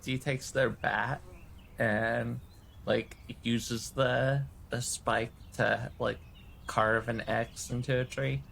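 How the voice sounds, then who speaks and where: Creaky-voiced British man playing a game. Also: mid-range voice, flat delivery, slow, fairly bright tone, a little hiss or hum. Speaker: tabletop game player. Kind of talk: casual improvised role-play chat.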